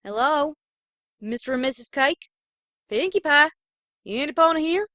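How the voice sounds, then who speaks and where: A woman's voice: sits high, lively intonation, a little slow, bright, forceful tone, no room sound, clean studio sound, full character voice. A woman playing a caring big-sister cartoon pony with folksy country turns of phrase in an audio drama fan reading. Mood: puzzled, calling out.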